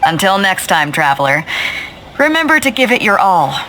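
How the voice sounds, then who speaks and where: A woman's voice: mid-range voice, very animated, normal speed, sharp tone, a little hiss or hum, poor audio. A woman playing a confident, teasing female fleet captain in a video game, commanding yet breezy. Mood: brisk, friendly.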